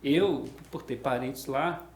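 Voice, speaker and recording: Measured middle-aged man from Brasília. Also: mid-range voice. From Brasília, Brazil. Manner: explanatory, measured, uses "tá?" tags. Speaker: guest, association president. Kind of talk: interview conversation. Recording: echoey room with faint background noise.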